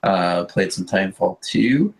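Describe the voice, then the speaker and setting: A man's voice: low voice, expressive, a little slow, vocal fry. A man talking casually and slangily as a podcast co-host. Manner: informal, slangy. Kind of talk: casual chat.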